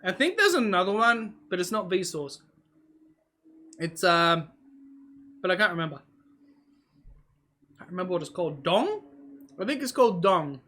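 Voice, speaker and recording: A casual vlogger with Hungarian as a first language, thinking out loud to the camera. The voice is bright and sits in a middling pitch, drifting from thought to thought with frequent "um"s, "like"s and restarts. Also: big pitch swings, moderate pace, pauses often. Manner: meandering, musing aloud with many fillers and self-corrections; talks while eating on camera. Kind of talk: casual rambling vlog.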